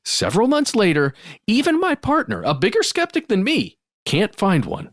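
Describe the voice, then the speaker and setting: Guy acting out a puzzled skeptic reading a letter, super swoopy pitch, dry close sound. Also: huge pitch swings, moderate pace, dry recording, full character voice. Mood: emphatic, baffled. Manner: theatrical, exaggerated emphasis. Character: skeptical listener telling a baffling true story. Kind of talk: dramatic reading of a listener letter.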